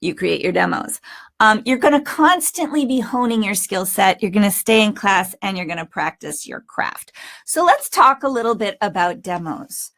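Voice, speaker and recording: A woman with a medium-pitched voice talks as a coach to aspiring performers. Her teaching is informal and emphatic. She leans on key words with deliberate pauses and drops in conversational asides. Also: lively intonation, vocal fry, a bit bright. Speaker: voice acting coach. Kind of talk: informal instructional talk.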